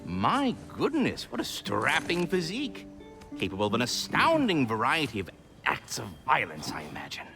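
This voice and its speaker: An actor playing a fussy, chatty giant squirrel in a video game, with prim, theatrical, flowery speech. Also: male voice, mid-range voice, huge pitch swings, moderate pace, gravelly. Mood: fascinated, effusive. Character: fussy, refined, chatty giant squirrel tending a world tree. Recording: music under the voice.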